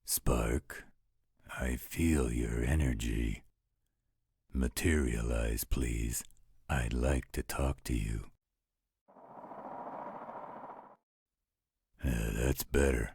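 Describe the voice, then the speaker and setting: A man playing a gruff, overprotective werewolf guardian in an audio roleplay, gravelly and husky, with a protective, fatherly manner. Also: rumbling low, fairly even intonation, slow, a little mellow, no room sound, exaggerated voice. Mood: concerned, tender. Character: gruff, overprotective male werewolf guardian, tender toward his ward.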